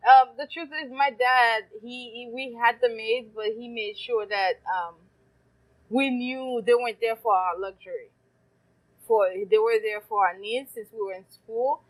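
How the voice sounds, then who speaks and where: Woman, 30s, higher voice, chatty storyteller who laughs a lot. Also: low-quality recording. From Haiti. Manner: lively storyteller, laughs often. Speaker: guest, caterer and café owner. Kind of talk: casual interview storytelling. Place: farmers market.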